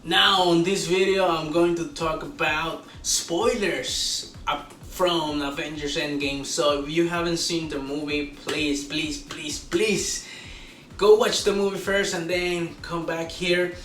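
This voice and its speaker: A man hosting a casual, unscripted movie review, talking like an excitable fan with drawn-out exclamations. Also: fairly high pitch, far from the mic. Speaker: host of a movie review show. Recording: roomy echo, off-mic, faint background noise.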